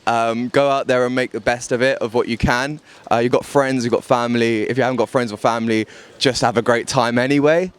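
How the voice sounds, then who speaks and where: A man's voice: medium pitch, steady tone, a little fast, clear, resonant tone. A man with a London English accent casually offering advice in a street interview.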